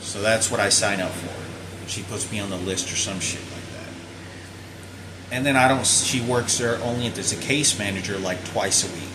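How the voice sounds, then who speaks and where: A man in his thirties speaks in a somewhat low voice, telling his story in a loose, repetitive way. He often backtracks and corrects himself mid-sentence. A clear, steady hum of air conditioning runs underneath. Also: unhurried. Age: 30s. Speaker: vlogger. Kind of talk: rambling personal account to camera.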